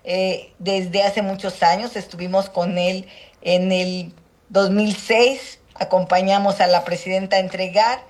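A middle-aged woman with a Mexican accent, a senator answering interview questions in a measured, hesitant way with many fillers. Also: mid-range voice, fairly even intonation. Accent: Mexican Spanish. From Mexico, Guerrero Costa Chica. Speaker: senator and political candidate. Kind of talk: phone or remote interview.

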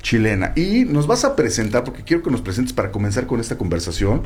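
Lively chatty male radio host. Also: medium pitch, fast. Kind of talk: casual radio interview.

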